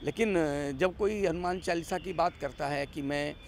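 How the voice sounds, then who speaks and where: Emphatic man with a creaky, higher-pitched voice. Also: moderate pace, slight background noise. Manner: emphatic, repeats phrases, rhetorical questions. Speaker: interview guest giving political commentary. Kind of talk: argumentative political interview.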